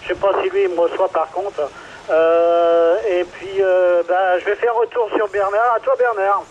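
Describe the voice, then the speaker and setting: A man with a French accent in casual CB radio chat, halting and searching for his words, heard through a radio transmission. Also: high voice, fairly even intonation. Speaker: amateur CB radio operator. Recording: dull CB radio reception with faint noise.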